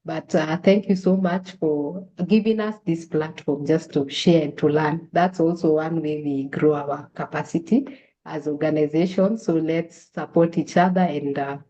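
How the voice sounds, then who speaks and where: A woman's voice: low voice, fairly even intonation, unhurried, warm tone. A woman facilitator giving earnest advice at an online meetup, restarting her phrases now and then. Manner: earnest, advising, with frequent restarts. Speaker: guest facilitator. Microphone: video call. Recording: dull lo-fi call audio.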